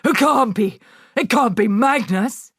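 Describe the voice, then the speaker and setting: A woman voicing a bullying female headmistress who shouts insults at a pupil. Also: on the high side, expressive, normal speed, no echo, clean studio sound, pitched above the performer's own voice. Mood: shocked.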